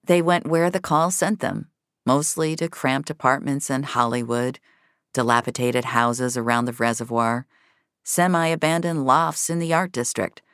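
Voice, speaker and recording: A woman's voice: a bass voice, steady tone, unhurried, fairly bright tone, clear, resonant tone, no room sound, clean studio sound. A woman narrating scripted science-fiction drama with a wry, noir storytelling manner.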